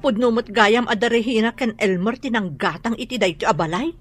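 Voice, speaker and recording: An actress with an Ilocano accent playing a sharp-tongued, nosy woman boarder in a radio drama, speaking emphatically. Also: fairly high pitch, brisk, faint music behind, close to an everyday voice. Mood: subdued, worried.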